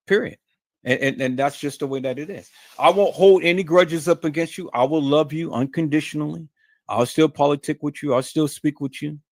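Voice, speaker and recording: A man's voice: a little high, no room sound, studio quality. A man hosting a live stream, talking straight to his viewers in an emphatic, preachy way and often repeating his words. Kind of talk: casual monologue to viewers.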